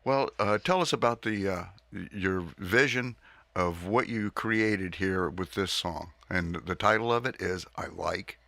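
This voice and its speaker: A man's voice: low-pitched, measured pace, gravelly, bright, forceful tone, dry recording. A man hosting a live radio interview from a recording studio, chatting easily with his guest and asking questions into a studio microphone. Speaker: radio show host.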